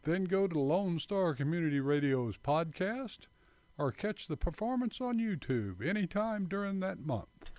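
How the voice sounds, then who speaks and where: A man's voice: high voice, very animated, a little slow, darkish tone, no room sound. A man reading scripted credits as a radio announcer. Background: music.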